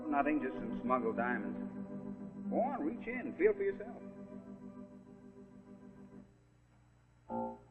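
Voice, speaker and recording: An actor playing a flippant, stubborn, wisecracking professor husband in a 1940s suspense television drama. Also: male voice, medium pitch, big pitch swings, moderate pace, close to an everyday voice. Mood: breezy. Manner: sardonic, wisecracking. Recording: muffled vintage TV soundtrack, music behind.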